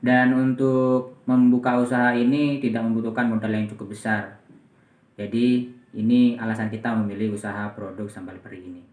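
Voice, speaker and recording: A man's voice: medium pitch, little pitch movement, normal speed, darkish tone. A young man from Indonesia, a student presenting a business plan, talking hesitantly with many fillers. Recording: echoey room, mic at a distance.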